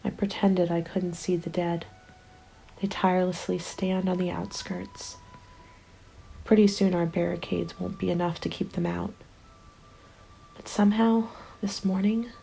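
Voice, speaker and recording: A woman voicing a serene, dreamy survivor's letter in a soft, breathy, measured ASMR roleplay delivery. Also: lowish voice, a little hiss or hum, rough recording. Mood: wistful hope. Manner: calm, wistful, measured.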